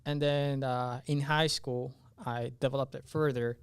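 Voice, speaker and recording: A man's voice: mid-range voice, level tone, unhurried, clean tone, dry recording. A young man from Honduras, a native Spanish speaker, giving casual interview answers and often searching for his words. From Honduras, moved to the US at nine. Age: young adult. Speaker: podcast guest, engineering student.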